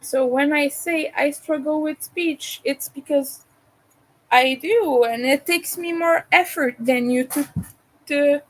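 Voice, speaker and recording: A woman's voice: high-pitched, low-quality recording. A woman who is not a native English speaker, chatting casually while she crochets, hesitating and restarting words. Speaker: crochet vlogger.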